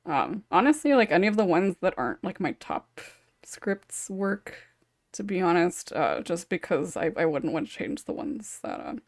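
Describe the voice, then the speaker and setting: A woman's voice: lowish voice, very animated, normal speed, dry recording, clean studio sound. A woman hosting a casual podcast chat, giggly and a bit hesitant, rambling off into asides. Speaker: podcast host and script writer.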